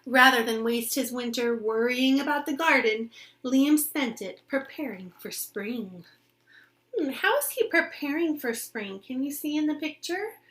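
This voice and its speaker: A woman with a fairly high voice reads a children's picture book aloud at a very unhurried pace. She sounds like a teacher, expressive in her reading and simple in her explanations, often turning to her young listeners with questions. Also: poor audio.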